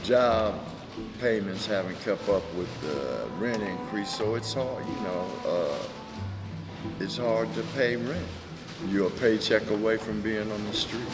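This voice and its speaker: A man speaking plainly and haltingly in an unscripted interview. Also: fairly low pitch, over loud music.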